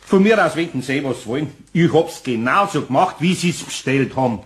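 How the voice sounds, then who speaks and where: An actor playing a grumbling but good-hearted older master carpenter in a children's radio play, folksy and plain-spoken, with a Bavarian accent. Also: male voice, on the high side, expressive, normal speed, natural voice. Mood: exasperated, stubborn.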